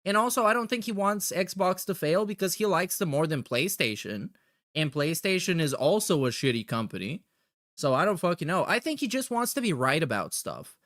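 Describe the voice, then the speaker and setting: Man, slightly high pitch, casual reaction host, sarcastic, mocking, sweary. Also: very animated, normal speed, a bit bright. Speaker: podcast host reacting to clips.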